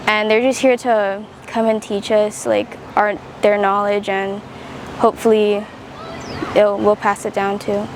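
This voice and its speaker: A woman from Kauai gives a casual interview testimonial with fairly flat intonation. Clear outdoor noise runs behind her. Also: medium pitch, a little slow.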